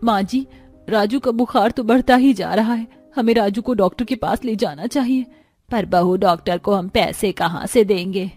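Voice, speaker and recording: An earnest, expressive household woman from a cartoon family tale, played by a woman. Her pitch is medium and music plays behind her. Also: moderate pace, close to an everyday voice. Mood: worried. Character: women of cartoon households, earnest mothers, in-laws and neighbours. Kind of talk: Hindi cartoon story acting.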